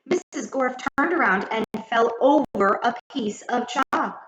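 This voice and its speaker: A woman narrating a children's story aloud in an expressive, dramatic, carefully enunciated way. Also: a little high, a little slow, background noise. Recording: echoey room, slightly muffled sound.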